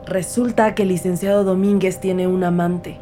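Rookie detective voiced by a woman, low female voice, earnest, measured narration, audio drama, background music. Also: flat delivery, lightly acted. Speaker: narrator and lead character.

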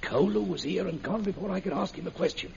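Gravelly, urgent prisoner in old radio. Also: male voice, high-pitched, quick pace, darkish tone. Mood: eager, secretive. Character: imprisoned British man, anxious father, eager conspirator. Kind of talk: 1940s radio serial acting. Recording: muffled, noisy old radio recording.

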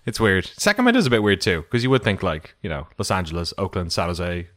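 Man, low-ish voice, casual chat, joking podcast co-host. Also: wildly swooping pitch, fast talker, a bit bright. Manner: joking, laughs often.

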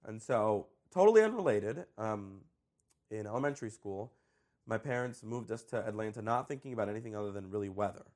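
Young guy from colombia, talks fast, laughs a lot, trails off mid thought. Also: on the low side. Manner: animated, laughs often, trails off. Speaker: high school orchestra director. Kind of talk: conversational interview.

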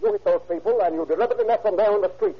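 A stern police lieutenant pressing hard with each question in a slightly husky voice. The voice sits a little high for a man. It comes through a dull, lo-fi 1930s radio recording. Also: racing. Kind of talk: 1930s radio drama acting.

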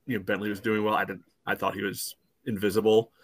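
A man giving casual soccer analysis as a podcast panelist, phrasing things haltingly with frequent filler phrases. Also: lowish voice, level tone, slight background noise. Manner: hesitant phrasing peppered with "you know".

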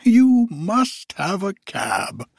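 Guy doing a giddy old miser gone all joyful, way up high and slow, husky. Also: huge pitch swings, dry recording, clean studio sound, full character voice. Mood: eager. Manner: exclaiming, breathless with delight. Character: giddy elderly miser reborn, man.

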